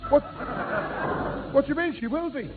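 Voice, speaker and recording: A dim, blustering young chap, high in pitch and forever grumbling as he muddles his words. The old radio sitcom sounds thin and tinny. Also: moderate pace. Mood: indignant, baffled. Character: slow-witted, grumbling young man dragged into schemes. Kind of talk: 1960s British radio sitcom acting.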